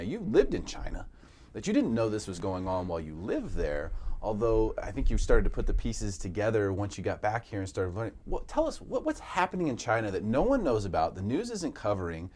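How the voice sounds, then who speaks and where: A man with a low voice who hosts a talk show, lively and upbeat as he introduces and questions his guests. His pitch swings widely, and a faint hum of room ambience sits behind him. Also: fairly quick. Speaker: radio show host. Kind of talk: radio talk show interview.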